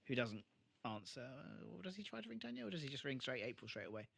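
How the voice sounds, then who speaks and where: A man's voice: medium pitch, big pitch swings, fast talker, gravelly, a bit bright, slightly rough. A man co-hosting a podcast, chatting casually in an agreeable, informal way. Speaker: podcast co-host. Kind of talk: casual chat, re-watch commentary.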